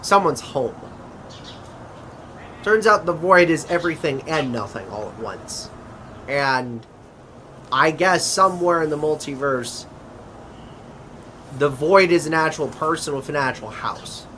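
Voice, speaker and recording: A man acting a chatty interdimensional adventurer in a conversational audio drama, with a halting, hesitant delivery. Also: on the high side, expressive, bright, forceful tone, slight background noise. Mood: awkward, explaining.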